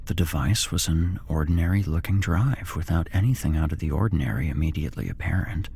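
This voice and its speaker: A man's voice: rumbling low, brisk, vocal fry, dark tone, slightly rough, no room sound, studio quality. A man narrating a scripted horror story in a whispered ASMR style.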